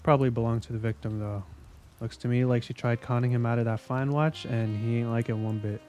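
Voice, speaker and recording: Audio drama: veteran male detective, played by a man, warm mellow tone, dry wit, casual and world-weary, light music underneath. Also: medium pitch, steady tone, fairly quick, natural voice. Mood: musing, wry. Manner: wry, needling, casual. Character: jaded, sardonic veteran male police investigator.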